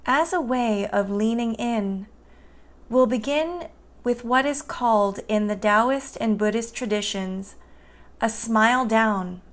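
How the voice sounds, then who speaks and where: A woman guiding a meditation in a soothing, gentle and unhurried manner. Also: a little high. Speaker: meditation guide. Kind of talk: guided meditation narration.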